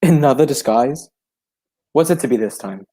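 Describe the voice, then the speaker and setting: An actor playing a detective's loyal, polite gentleman companion, mildly questioning, in an old-style radio drama. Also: mid-range voice, lively intonation, normal speed, breathy edge. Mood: curious. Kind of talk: 1940s radio drama acting.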